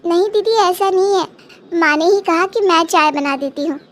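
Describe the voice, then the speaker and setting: A woman's voice: very high voice, moderate pace. A woman voicing a polite, dutiful young daughter-in-law in a Hindi cartoon, earnest and respectful. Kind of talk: Hindi cartoon moral story acting.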